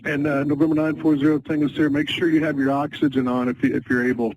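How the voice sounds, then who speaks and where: A man working as an air traffic controller, calmly giving procedural instructions and repeating them over an aviation radio. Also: on the high side, quick pace. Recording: narrow, noisy aviation radio transmission.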